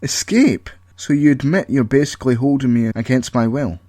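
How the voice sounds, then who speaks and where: A man's voice: mid-range voice, normal speed, mellow, no room sound, clean studio sound, lightly acted. A man voicing a frustrated, impatient trapped character in an audio story, pleading and demanding answers. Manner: exclamatory, demanding questioning.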